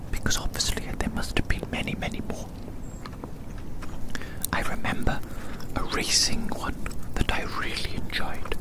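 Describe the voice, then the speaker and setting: Soft whispering ASMR host in their thirties. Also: poor audio. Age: 30s. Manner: gentle, unhurried, repeats phrases over and over. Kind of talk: ASMR roleplay whispering, rambling chat. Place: outdoors.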